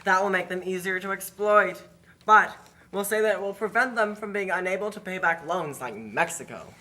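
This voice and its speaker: A woman's voice: mid-range voice, normal speed, sharp tone, natural voice. A woman playing a sly, greedy lending official who schemes aloud in a puppet show. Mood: gleeful scheming. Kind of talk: scripted puppet show acting.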